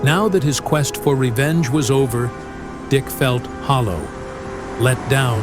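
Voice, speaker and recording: A man's voice: mid-range voice, a little slow. A man narrating an audio drama, reading scripted story prose in a dramatic style. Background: music.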